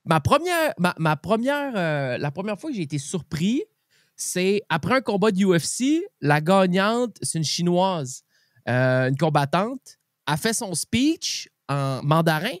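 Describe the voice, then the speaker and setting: A man with a Québécois French accent hosting a casual chat, speaking in informal slang with halting, repeated starts. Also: sits high, big pitch swings, dry recording, studio quality. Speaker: podcast host.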